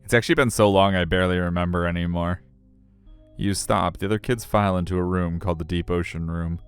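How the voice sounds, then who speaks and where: A middle-aged male streamer reads a visual novel and chats casually between passages. His voice is deep and resonant, with faint music playing underneath. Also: steady tone, fast talker, smooth. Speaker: game streamer. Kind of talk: live stream chat and visual novel narration.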